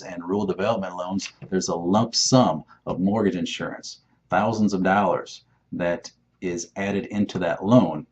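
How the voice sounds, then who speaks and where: A man giving an informal explainer as a lender, plain-spoken and emphatic, often posing questions and answering them himself. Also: on the low side. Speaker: mortgage lender giving advice. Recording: dull, muffled lo-fi sound.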